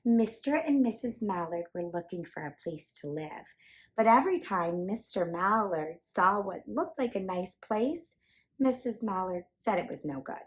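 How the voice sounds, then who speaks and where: A woman reads a picture book aloud for young children. Her voice sits at a medium pitch, and she tells the story in an animated, emphatic style. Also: normal speed.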